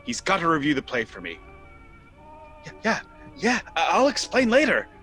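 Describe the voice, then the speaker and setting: An actor playing a frantic, appalled nephew in a theatrical radio comedy, exasperated and stammering. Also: male voice, on the high side, wildly swooping pitch, moderate pace, over music. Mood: flustered. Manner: exasperated, stammering, wildly exclaiming. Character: frantic, appalled nephew discovering his aunts' crimes.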